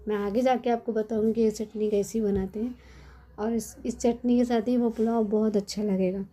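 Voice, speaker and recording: A middle-aged woman with a hoarse, cold-worn voice, chatting casually as she explains a home recipe step by step in her kitchen. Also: on the high side, fairly even intonation, normal speed, dark tone. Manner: chatty, explains step by step with frequent tag questions. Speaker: cooking channel host. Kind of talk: casual cooking tutorial.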